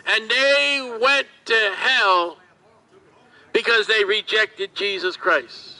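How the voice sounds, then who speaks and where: A man preaching in the open air at a street market, with slow, drawn-out delivery, heard from a camera across the street. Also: high-pitched, pushed and bright, rough recording.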